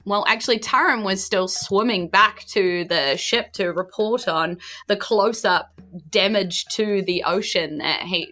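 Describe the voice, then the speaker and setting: A woman, improvising narration as game master of a tabletop game podcast in a chatty, excitable, emphatic way. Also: mid-range voice. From New Zealand.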